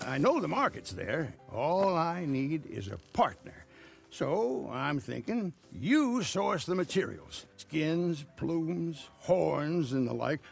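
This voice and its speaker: An actor playing a rambling old trapper and tanner who talks a partner into a shady business deal, hesitating with many uhs. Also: male voice, creaky, heavily acted. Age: older. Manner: rambling salesman's pitch, wheedling. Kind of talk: video game cutscene acting.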